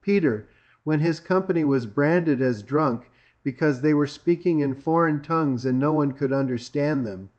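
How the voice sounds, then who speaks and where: A man's voice: fairly high pitch, normal speed, darkish tone. Emphatic preacher, man from Greece, dull recording. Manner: emphatic, repetitive, with rhetorical questions. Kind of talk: sermon.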